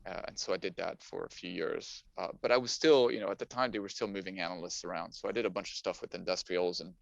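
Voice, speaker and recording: A man's voice: low voice, steady tone, fast, creaky, no room sound. A middle-aged man from Paris, a native French speaker, giving casual, story-like answers as an interview guest. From Paris, France. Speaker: podcast guest, finance professional. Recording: dull, muffled lo-fi audio.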